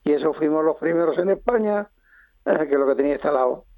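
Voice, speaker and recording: Older man, interview guest, halting speech, tinny phone line, dry sound. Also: fairly high pitch, no room sound. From Spain (Madrid). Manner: halting, with many pauses and restarts. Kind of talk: radio interview.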